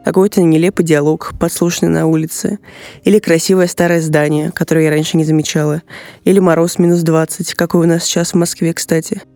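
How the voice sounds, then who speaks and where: A woman reads out a prepared account of her own experience with very even intonation. The sound is dry, without room echo, and soft music runs underneath. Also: deep voice, fairly quick. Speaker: podcast team member. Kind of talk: prepared first-person account.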